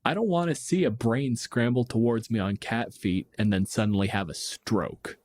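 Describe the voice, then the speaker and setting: A man's voice: mid-range voice, moderate pace, darkish tone, no room sound. A man in his thirties chatting casually as a podcast host, explaining things in a wry, emphatic, sweary way.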